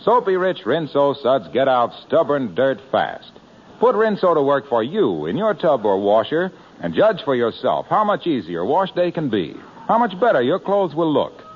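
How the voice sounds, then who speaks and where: A man's voice: medium pitch, wildly swooping pitch, normal speed. A man announcing a 1940s radio show and reading its commercial in an upbeat, salesy manner. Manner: upbeat, salesy, showman delivery. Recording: muffled old radio broadcast with hiss.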